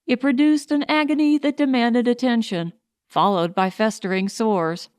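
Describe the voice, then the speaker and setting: A woman's voice: fairly high pitch, fairly even intonation, normal speed, a little mellow, clear, resonant tone, dry recording, clean studio sound. A woman reading scripted audiobook narration of a pulp science fiction story.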